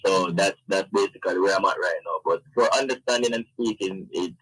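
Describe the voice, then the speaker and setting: Low, husky man chatting. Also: a little fast, fairly bright tone, poor audio. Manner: conversational, frequent "you know". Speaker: interview guest, event organiser. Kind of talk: casual interview chat.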